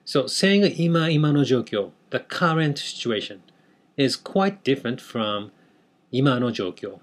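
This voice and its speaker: A man's voice: medium pitch, lively intonation, measured pace. Hesitant Japanese-accented man explaining slang. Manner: hesitant, many fillers and restarts. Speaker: language teacher. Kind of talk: unscripted explainer video.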